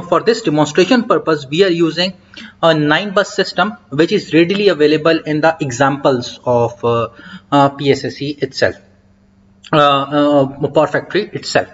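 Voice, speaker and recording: A man giving a step-by-step software tutorial over a screen recording, talking in an explanatory way with frequent hesitant fillers. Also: fairly high pitch. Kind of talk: screen-recorded coding walkthrough. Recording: dull lo-fi mic.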